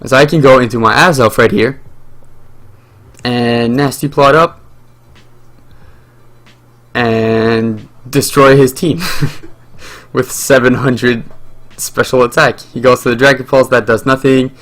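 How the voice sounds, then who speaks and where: A man's voice: mid-range voice, steady tone, slow, pauses often, a bit bright. Young french guy narrating his game live, lots of uhs and restarts, medium voice. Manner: rambling, many fillers and restarts. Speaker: gaming channel host.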